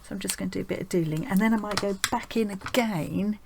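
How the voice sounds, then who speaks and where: A woman with a croaky voice from a cold, narrating a casual craft vlog in a chatty, rambling way with many ums. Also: medium pitch, fast, background noise. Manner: chatty, meandering, many ums, drops to a whisper at times. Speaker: art channel host.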